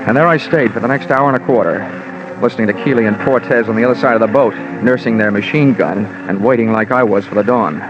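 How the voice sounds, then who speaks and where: An actor playing a wry, hard-boiled American insurance investigator, narrating dryly and colloquially in 1940s radio detective style. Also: male voice, on the low side, fast, close to an everyday voice. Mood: wry, brisk. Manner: dry, sardonic, colloquial. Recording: muffled old radio recording with music.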